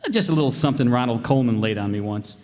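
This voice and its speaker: A man's voice: medium pitch, fast talker, darkish tone, close to an everyday voice. A man playing a vain, folksy, slangy husband and father in a radio comedy re-creation. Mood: boastful, breezy. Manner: boastful, breezy. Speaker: radio player. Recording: reverberant hall, live stage performance.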